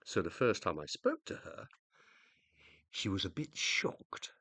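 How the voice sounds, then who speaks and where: A self-important male scientist in a radio play, acted by a man, uploaded after death and very proud of it. His delivery is theatrical and extremely animated, with wide leaps of pitch. The sound is close and dry, and his pompous tone tips readily into indignation. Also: a little slow, dry recording, full character voice. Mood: smug, confiding. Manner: pompous, theatrical, easily exasperated. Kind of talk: radio play acting, monologue and dialogue.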